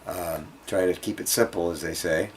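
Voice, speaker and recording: A man giving an informal guitar lesson, speaking haltingly as he thinks aloud and demonstrates. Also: deep voice, fairly even intonation, a little hiss or hum. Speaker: guitar teacher. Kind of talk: informal instructional music lesson. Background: acoustic guitar playing.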